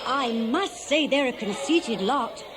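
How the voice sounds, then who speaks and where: Radio drama role: coaxing, excitable daughter of the gods, very lively melody in the voice, over music. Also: female voice, fairly high pitch, slow. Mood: flustered. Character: Athena, spirited coaxing young goddess, daughter of Zeus. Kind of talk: 1970s radio play acting.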